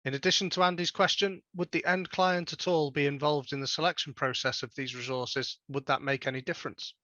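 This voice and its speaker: Bright-voiced man hosting a webinar. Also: on the high side, fairly even intonation, fairly quick, no echo, dull audio. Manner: reading questions out, polite and upbeat. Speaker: webinar host. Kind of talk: hosting a question session.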